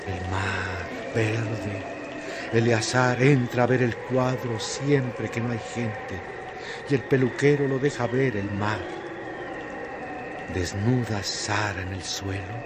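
A brooding male storyteller narrates a literary radio drama in a fairly low voice. The delivery is slow, poetic and dramatic. Loud noise runs through the recording. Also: steady tone. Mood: somber, lyrical.

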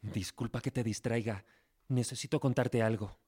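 Actor as an earnest young idealist in love. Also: male voice, mid-range voice, fairly even intonation, normal speed, darkish tone, slightly rough, lightly acted. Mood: hopeful. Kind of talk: radio drama acting. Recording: music under the voice.

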